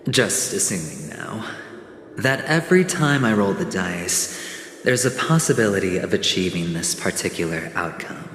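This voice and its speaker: An actor playing a suave young gambler in a video game, theatrical, wistful and deliberate. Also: male voice, low voice, expressive, a bit bright, slight background noise. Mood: musing, confident. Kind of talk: video game cutscene acting. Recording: echoey hall with long reverb.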